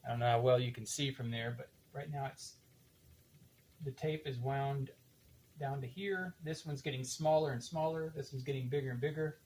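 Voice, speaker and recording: An older man giving a casual, unhurried hands-on demonstration, halting now and then as he thinks aloud while working. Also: medium pitch, steady tone, a little mellow, slight background noise. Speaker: demonstrator of reel-to-reel tape machines.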